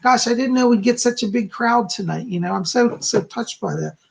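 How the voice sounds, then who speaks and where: A man with a hoarse, slightly raspy voice, chatting casually from home as a psychic hosting his live stream and greeting viewers effusively. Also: very high voice, a little fast. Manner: chatty, effusive, frequent "okay?" and "you know".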